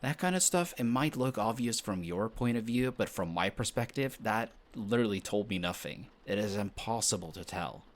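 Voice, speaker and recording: Guy playing a deep voiced young best friend, flustered and defensive but smitten, intimate romance roleplay audio. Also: expressive, lightly acted. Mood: exasperated, defensive. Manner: defensive, bickering, halting when shy.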